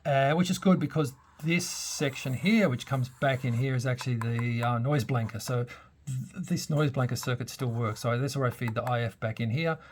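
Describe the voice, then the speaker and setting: A man's voice: mid-range voice, normal speed, slight background noise. A man giving a casual, unscripted hands-on tech update as a hobbyist, thinking aloud and hesitating as he goes. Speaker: hobbyist presenter giving a radio modification demo.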